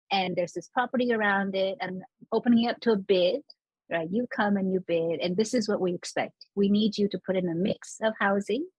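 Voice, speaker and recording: A woman scholar from Los Angeles explaining urban planning in a casual interview, animated and laughing now and then. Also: lowish voice, husky, no room sound, low-quality recording.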